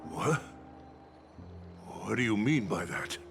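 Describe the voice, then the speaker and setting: A deep-voiced elder warrior of a fantasy game, loyal to his kingdom. The voice is full of gravel and very slow. He talks formally and deliberately, giving words weight. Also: lively intonation, exaggerated voice. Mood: shocked. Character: aged warrior mentor, devoted to his fallen kingdom. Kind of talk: scripted game voice acting. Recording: music behind the voice.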